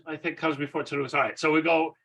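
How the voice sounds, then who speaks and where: A man's voice: fairly high pitch, little pitch movement, quick pace, vocal fry, sharp tone. A man hosting a podcast, introducing his co-hosts in a playful, upbeat manner. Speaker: podcast host.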